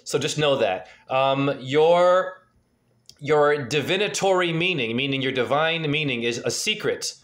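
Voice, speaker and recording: A man's voice: fairly high pitch, lively intonation, a little slow, fairly bright tone. A man in his forties giving a freewheeling, earnest numerology reading over a video call from a dim room at home. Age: 40s. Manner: freewheeling, earnest, asks probing questions. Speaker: spiritual numerology reader. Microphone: webcam on a video call.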